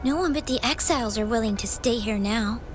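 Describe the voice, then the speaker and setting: A woman's voice: on the high side, a little fast, soft background music, natural voice. An actress voicing a frightened, guarded young girl living alone in a ruined village. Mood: wary. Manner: nervous, guarded. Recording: game score underneath.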